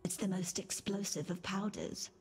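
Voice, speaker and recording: A woman's voice: mid-range voice, normal speed, a little mellow, faint music behind, natural voice. A woman playing a mad, whimsical gemling alchemist in a fantasy game, with a whispery, dreamy singsong delivery. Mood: gleeful, conspiratorial. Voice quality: breathy, whispery. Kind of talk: fantasy video game character dialogue.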